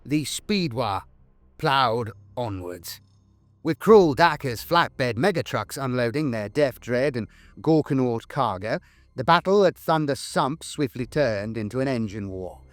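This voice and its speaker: A man's voice: mid-range voice, animated, measured pace, dry recording. A man delivering scripted lore narration in a grandiose, theatrical storytelling manner. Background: background music.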